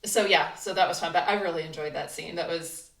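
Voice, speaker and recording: A woman's voice: on the low side, brisk, gravelly. A woman chatting enthusiastically as a voice actor guest in a casual interview. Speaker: voice actor, cast member guest. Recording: echoey room, distant mic.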